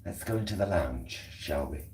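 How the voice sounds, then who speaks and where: A man's voice: a bass voice, animated, normal speed, darkish tone, slight background noise. A British man chatting casually in a rambling, hesitant way, as a vlogger talking at home. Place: indoors at home. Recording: echoey room.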